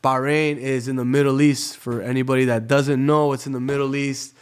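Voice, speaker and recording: A man from Tampa, a DJ guesting on a podcast, tells his story in an easygoing, conversational way, dropping "you know" every few phrases. His voice is smooth and resonant and sits at an ordinary pitch for a man. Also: flat delivery, a little fast. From Tampa, Florida. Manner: laid-back, peppers his talk with "you know". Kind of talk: casual storytelling in a podcast interview.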